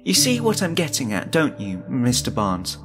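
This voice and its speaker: A man's voice: mid-range voice, wildly swooping pitch, normal speed, over music, voice pushed up. A man voicing a probing, earnest female school psychologist in a horror story, with a formal, questioning manner.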